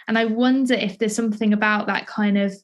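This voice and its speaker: A woman's voice: mid-range voice, fairly even intonation, brisk, highs cut off. A woman chatting as an interviewer in a tentative, heavily hedged way. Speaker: interviewer, theatre maker. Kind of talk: casual interview conversation.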